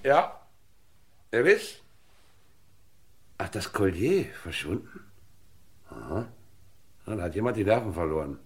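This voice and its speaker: An actor playing a brisk, shrewd police inspector in a 1960s German radio crime play, clipped and authoritative. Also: male voice, low voice, sing-song, exaggerated voice. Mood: brisk, probing.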